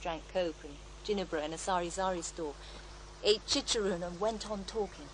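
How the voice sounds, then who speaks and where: An actress playing a serene, devout faith healer in a radio drama, reflective and gently assured as she tells her story. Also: fairly low pitch, moderate pace, slight background noise, lightly acted, low-quality recording. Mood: wistful. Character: serene devout female faith healer, former child therapist, wistful. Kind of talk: naturalistic radio drama acting.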